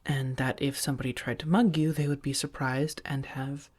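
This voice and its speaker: Casting: woman to play protective female vampire roommate, low-pitched, soft and breathy, halting and earnest, intimate ASMR roleplay. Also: level tone. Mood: defensive, worried. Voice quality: soft, breathy, often dropping to a whisper.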